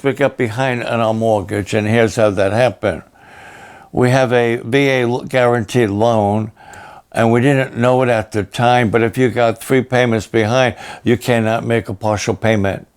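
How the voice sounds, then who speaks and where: This is a raspy, hoarse older man speaking at a very slow, unhurried pace. His pitch sits in a middle range. He is a pastor giving a plain personal update with halting pauses and repeated phrases. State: hoarse after illness and a long program.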